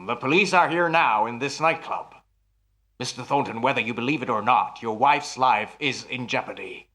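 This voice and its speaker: An actor playing a self-assured nightclub mind reader in an old-time radio mystery, with a formal, emphatic, measured delivery. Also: male voice, mid-range voice, sharp tone, lightly acted. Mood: grave, confident.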